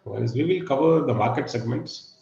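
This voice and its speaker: A middle-aged man with a husky, low voice giving an online guest lecture, pausing often with fillers and "okay". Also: lively intonation, mellow, roomy sound, muffled recording. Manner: hesitant, many fillers and "okay". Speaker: guest speaker. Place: video call.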